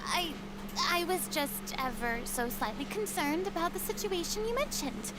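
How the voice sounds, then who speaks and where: An actress voicing a proud yet softened young woman who was once a divine ruler, speaking in formal, elaborate phrases for a game. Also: very high voice, sing-song, moderate pace, music in the background, poor audio. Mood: sheepish. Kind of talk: game voice acting. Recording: game audio over noise.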